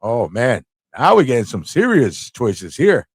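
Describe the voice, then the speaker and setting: A man's voice: medium pitch, sing-song, unhurried, slightly rough, no echo, studio quality. A man hosting a casual livestream, informal and playful as he thinks aloud and chats with viewers. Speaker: livestream host playing an interactive story.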